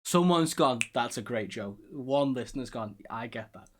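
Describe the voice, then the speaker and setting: Podcast host, Scouse man, mid-pitched, chatty and rambling, self-mocking. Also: expressive, normal speed, a little hiss or hum. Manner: rambling, self-deprecating, lots of fillers. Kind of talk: casual chat.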